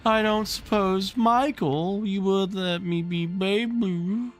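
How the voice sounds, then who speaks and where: A man voicing a dejected, heavy-hearted little boy in a storybook, with slow, plaintive, drawn-out speech. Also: high-pitched, faint music behind, pitched above the performer's own voice. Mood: glum, pleading.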